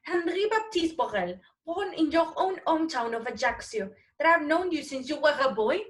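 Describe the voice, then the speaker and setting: A woman's voice: sits high, animated, normal speed. A woman playing an indignant male sailor from Corsica in a 1940s-style radio play, protesting emphatically. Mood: indignant, pleading.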